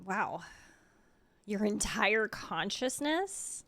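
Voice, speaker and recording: A woman's voice: mid-range voice, very animated, very slow, a bit bright. A woman streamer reacting casually to a game, blurting short surprised remarks and swearing. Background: faint game music.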